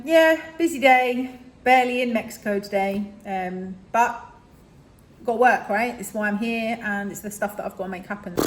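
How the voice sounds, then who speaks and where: A woman with a British accent, chatting casually and self-mockingly to her phone camera, laughing often, her voice a little croaky and sleepy. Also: mid-range voice. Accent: British English. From England. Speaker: vlogger. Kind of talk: casual vlog chat.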